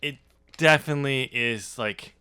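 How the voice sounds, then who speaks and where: Bright-voiced hesitant man. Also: medium pitch, moderate pace, clear, resonant tone. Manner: hesitant, trails off and restarts, laughs often. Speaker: author and podcast host. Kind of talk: casual podcast chat.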